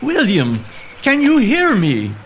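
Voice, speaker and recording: A theatrical, wildly expressive actor's gentleman uncle from an audio drama. He sounds earnest and brave, his pitch swinging widely, with faint night ambience behind him. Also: slow pace, a little hiss or hum, full character voice. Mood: urgent calling. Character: brave, reassuring English gentleman uncle searching for nephew.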